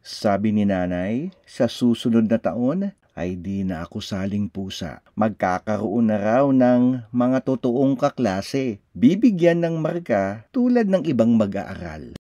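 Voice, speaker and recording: A man with a Filipino accent reading a children's picture book aloud, expressively, with deliberate, drawn-out phrasing. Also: fairly low pitch, big pitch swings, dull audio, very noisy.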